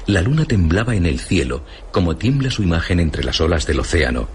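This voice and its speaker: A radio-theatre storyteller with a low voice and lively, swooping intonation. His Castilian Spanish narration is dramatic and ominous, over faint ambient noise. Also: brisk. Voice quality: deep. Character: storyteller narrating a fantastical fairy tale.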